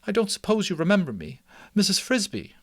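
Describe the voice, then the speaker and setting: A worried mother mouse from a storybook, played by a man. The voice is raised in pitch and moves up and down freely. She speaks politely, with an earnest, pleading manner. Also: big pitch swings, brisk, fairly bright tone, slightly rough, no echo, studio quality, exaggerated voice. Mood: polite, relieved. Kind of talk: dramatic storybook character reading.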